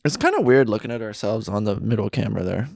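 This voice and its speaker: A man co-hosting a casual podcast from a friend's basement, bantering and joking quickly. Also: on the low side, gravelly, a little mellow, slight background noise. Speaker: podcast co-host. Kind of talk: casual chat.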